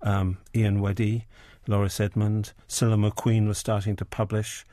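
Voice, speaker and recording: A man's voice: on the low side, flat delivery, measured pace, mellow, dry recording. A man in his sixties with an English accent, an interview guest who talks about books and poetry with many ums and restarts. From England. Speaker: interview guest, poet and editor. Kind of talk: relaxed radio interview conversation.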